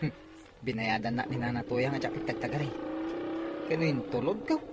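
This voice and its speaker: A man's voice: mid-range voice, a little fast, noisy background, lightly acted. A man with an Ilocano accent playing a teasing, sly villager in a comic drama.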